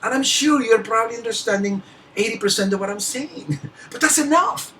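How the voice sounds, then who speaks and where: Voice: medium pitch, fairly quick, bright, forceful tone, distant mic, slight background noise, low-quality recording. A motivational speaker from Peru with a Peruvian Spanish accent and Spanish as a first language. They give an earnest, emphatic speech, laughing lightly and restarting words now and then.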